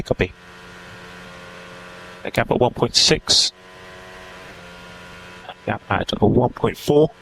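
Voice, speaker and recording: A man's voice: fairly low pitch, gravelly, pushed and bright. A man working as a race engineer, giving clipped, procedural instructions over team radio. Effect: team radio transmission. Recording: noisy team radio feed.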